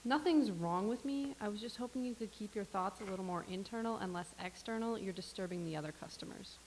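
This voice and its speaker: An actress playing a young, dry-witted barista who answers with deadpan sarcasm. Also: female voice, medium pitch, fast talker, lightly acted, low-quality recording. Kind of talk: staged reading of a comedy play. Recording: faint hiss.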